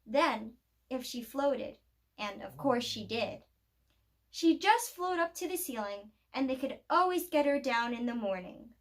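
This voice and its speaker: A woman reading a children's picture book aloud as a storyteller, with expressive, deliberate phrasing. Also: sits high.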